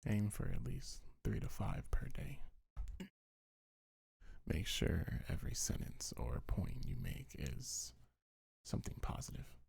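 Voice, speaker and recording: A man with a low, mellow voice speaks softly in an ASMR style, often dropping into a whisper. His delivery is very husky and breathy, with gentle, soothing reassurance throughout. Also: big pitch swings, slow, pauses often. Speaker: ASMR creator reading a comfort script. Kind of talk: ASMR affirmations, soft-spoken and half-whispered.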